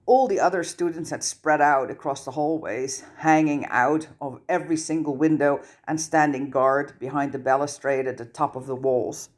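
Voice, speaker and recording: Expressive audiobook reader with a mid-range voice. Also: mid-range voice, moderate pace, hardly any pauses. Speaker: fan fiction audiobook reader. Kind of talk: expressive scripted story narration.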